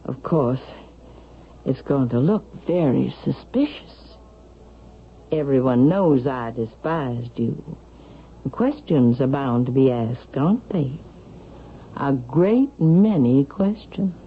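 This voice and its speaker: An actress playing a domineering, melodramatic old widow in a radio drama, with a husky voice and an imperious, theatrical delivery. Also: female voice, extremely low pitch, lively intonation, slow, slight background noise, exaggerated voice. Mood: cold, pointed. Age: older. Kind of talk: 1970s radio drama acting. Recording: muffled, lo-fi vintage radio recording.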